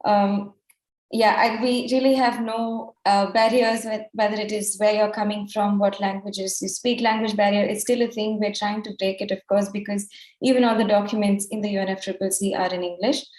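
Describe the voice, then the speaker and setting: A woman's voice: mid-range voice, fairly even intonation, normal speed, darkish tone. Young woman explaining, echoey room. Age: young adult. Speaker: youth delegate on a panel. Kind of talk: informal explanatory answer. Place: online video meeting, indoor room. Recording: roomy, echoey video call.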